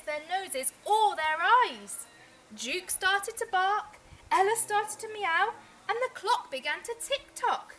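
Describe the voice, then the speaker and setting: A woman reading a children's storybook aloud in an expressive, deliberate way, with dramatic emphasis. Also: extremely high pitch, slight background noise. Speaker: teacher reading a picture book aloud.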